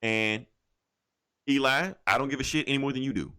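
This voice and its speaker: A man co-hosting a podcast, chatting casually about comics and games in a profane, opinionated, animated way. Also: mid-range voice, brisk, clean tone. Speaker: podcast co-host. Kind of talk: casual comic book and video game chat.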